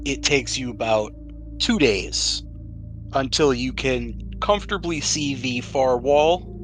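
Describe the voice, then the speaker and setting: A man's voice: medium pitch, slow pace, a bit bright. A man hosting and narrating a tabletop roleplaying game, explaining things to players in an easygoing way. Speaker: podcast host and game master. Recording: music bed behind the voice. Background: background music.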